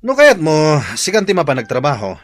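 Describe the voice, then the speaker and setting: A man's voice: fairly high pitch, huge pitch swings, fairly quick. A man playing a hot-tempered, hard-drinking gambler husband in a radio drama, jeering and accusatory. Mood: scornful. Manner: explosive shouting, jeering, accusatory. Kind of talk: Filipino radio drama acting.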